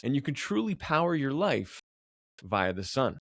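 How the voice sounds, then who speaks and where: A man's voice: mid-range voice, big pitch swings, normal speed, gravelly, no echo, studio quality. A man hosting a podcast, upbeat and enthusiastic, often repeating words as he goes. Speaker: podcast host. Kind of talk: podcast interview.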